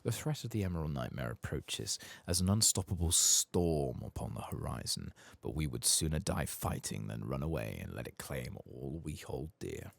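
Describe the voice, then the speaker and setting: A man voicing a solemn, devout temple guardian from a fantasy game, soft-spoken, measured and formal. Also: extremely low pitch, big pitch swings, creaky, no echo, exaggerated voice. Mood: solemn, resolute. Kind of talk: soft-spoken reading of video game dialogue.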